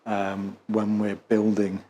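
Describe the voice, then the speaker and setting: A man's voice: on the low side, monotone, takes it slowly, warm tone, a little hiss or hum. A man working as an osteopath and instructor, questioning and explaining in a hesitant way, often repeating and rephrasing his words. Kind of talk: case history questioning and explanatory teaching.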